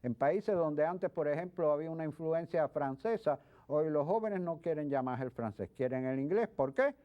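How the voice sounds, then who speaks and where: A man speaking as a guest expert in an interview, explaining things in a halting way with repeated words. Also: a little high. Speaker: guest expert, history professor. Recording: dull lo-fi sound.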